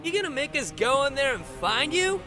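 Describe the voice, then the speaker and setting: An actor playing a brash, excitable young warrior in a video game, cocky in manner. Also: male voice, extremely high pitch, moderate pace, soft background music, heavily acted. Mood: taunting. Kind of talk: video game cutscene acting.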